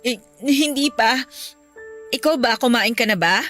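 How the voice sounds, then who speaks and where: A woman's voice: a little high, unhurried, a bit bright. A woman playing a heartbroken, long-suffering young girlfriend in a radio drama, in an emotional, pleading manner. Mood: low, suspicious. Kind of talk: Tagalog radio drama acting. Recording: music behind the voice.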